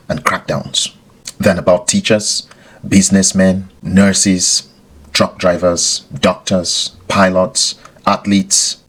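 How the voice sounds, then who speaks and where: A man hosting a podcast, giving scripted explanatory commentary in a deliberate way, with rhetorical questions and repeated phrases for emphasis. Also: sits low, breathy edge, poor audio. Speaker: podcast host.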